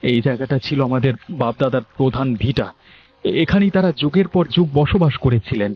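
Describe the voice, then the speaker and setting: Guy doing earnest younger village brother in a cartoon, plain talk, mid pitch, bit muffled. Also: expressive, a little fast, a little hiss or hum, close to an everyday voice. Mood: wistful. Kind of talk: Bangla cartoon voice acting.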